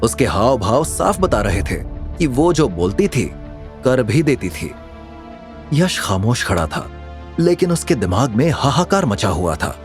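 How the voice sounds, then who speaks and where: Animated male dramatic story narrator. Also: mid-range voice, a little slow. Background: music.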